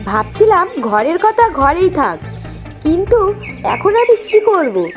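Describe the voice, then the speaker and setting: An exasperated young wife from a cartoon fable, acted by a woman. Her voice is extremely high and swoops in lively complaint, insistent and outspoken, over faint music. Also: slow pace, exaggerated voice. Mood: aggrieved, pleading. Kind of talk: cartoon moral-tale character acting.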